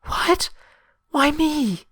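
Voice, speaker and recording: A man voicing a brash, anguished female pegasus pony in a story, with a strained, hoarse edge. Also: very high voice, lively intonation, extremely slow, sharp tone, no echo, studio quality, pitched above the performer's own voice, heavily acted. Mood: shocked.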